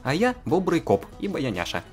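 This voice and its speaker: A woman's voice: low voice, wildly swooping pitch, normal speed. A woman voicing a sarcastic young female co-author with a wry, exasperated manner. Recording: faint background music.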